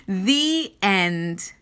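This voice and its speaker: A woman reading a children's story aloud in expressive narration, with deliberate, theatrical emphasis. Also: medium pitch, clean tone.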